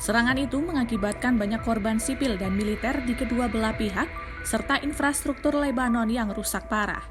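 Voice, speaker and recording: A woman with an Indonesian accent reads a scripted news explainer in a formal, measured manner. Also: fairly high pitch. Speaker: news narrator. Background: background music.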